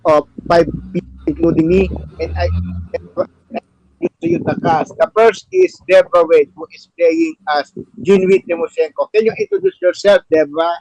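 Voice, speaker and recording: A man with a rather high voice hosts a table read and reads out stage directions. His phrasing is halting and often repeats itself, and the sound is dry, with no room around it. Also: sing-song, darkish tone, no room sound, lo-fi sound. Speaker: show host and stage-direction reader. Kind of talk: hosting and narrating a play table read.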